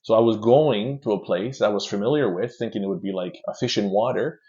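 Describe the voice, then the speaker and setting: A man from Canada chats as an interview guest, his low voice lively and full of quick restarts. The sound is dull and lo-fi, like a remote call. He tells his stories loosely and casually. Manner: animated, repeats words in quick restarts. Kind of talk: casual chat, storytelling.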